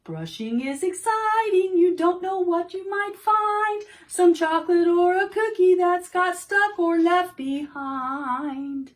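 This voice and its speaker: A woman's voice: very high voice, slow, few pauses, pitched above the performer's own voice. A woman voicing playful, rowdy little monsters from a children's storybook in an exuberant singsong. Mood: gleeful.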